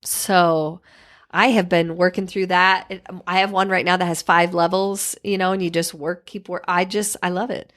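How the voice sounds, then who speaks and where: A woman in her forties co-hosting a podcast, chatting with enthusiasm and agreeing warmly. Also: on the low side, flat delivery, normal speed. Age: 40s. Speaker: podcast co-host. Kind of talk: casual chat.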